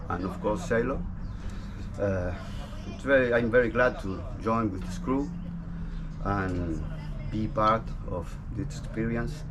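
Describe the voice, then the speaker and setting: A man from Argentina, the boat's diesel mechanic, introducing himself haltingly and searching for words. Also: on the low side, background noise. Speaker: boat mechanic.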